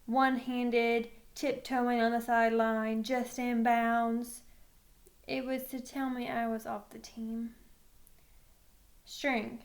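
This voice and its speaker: A woman with a fairly high voice narrates a children's novel in the first person. She reads expressively, as a storyteller. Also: little pitch movement, slow pace. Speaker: read-aloud reader.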